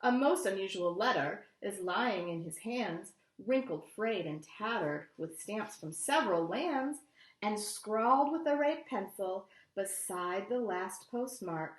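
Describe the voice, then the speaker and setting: Animated American woman reading to kids, echoey room. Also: medium pitch, unhurried. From United States. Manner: animated, addressing young listeners. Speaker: children's story reader. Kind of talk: expressive picture book read-aloud with casual asides.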